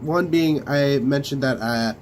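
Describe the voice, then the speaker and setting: A young man hosting a casual room tour vlog of his bedroom studio, talking haltingly with many fillers and restarts while coming down with a cold. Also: mid-range voice, a little hiss or hum. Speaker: Lego channel host.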